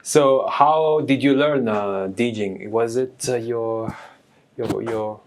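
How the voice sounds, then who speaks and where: A man interviewing a guest, asking halting questions and reacting quickly. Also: medium pitch, slight background noise. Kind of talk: casual interview.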